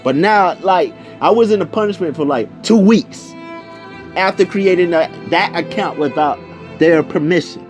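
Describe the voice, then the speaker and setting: A young man telling a personal story casually, in an animated, slangy way, acting out the conversations as he goes. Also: high-pitched, moderate pace, soft background music. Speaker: video storyteller.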